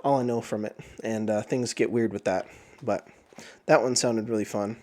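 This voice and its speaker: A man hosting a book review channel, chatting casually while sick with the flu, his voice a bit off, often clearing his throat. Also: fairly low pitch, fairly even intonation, moderate pace, a little mellow. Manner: rambling, frequent throat clearing and filler words. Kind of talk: casual chat.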